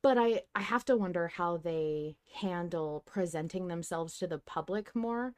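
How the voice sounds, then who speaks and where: A woman's voice: lowish voice, measured pace, a little mellow, clean tone. A woman hosting a podcast, chatting casually about fiction as a fan in a playfully dramatic way. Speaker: podcast host.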